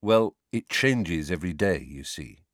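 A man's voice: deep voice, animated, unhurried, no room sound, studio quality. A man voicing a sullen teenage boy who answers back in a cold, resigned, toneless manner in a storybook.

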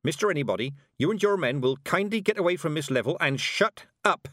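Curt commanding old witch, man voicing her; lively pitch, dry. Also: fairly quick, no echo. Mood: stern. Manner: curt, commanding, snaps orders. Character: stern sharp-tongued old woman witch.

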